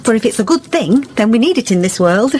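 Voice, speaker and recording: A woman's voice: on the high side, very animated, fairly quick, darkish tone, slight background noise. A woman playing a kindly, pious village wife in a radio drama, chatty and earnest, with a rural English accent. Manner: chatty, homely, earnestly persuasive.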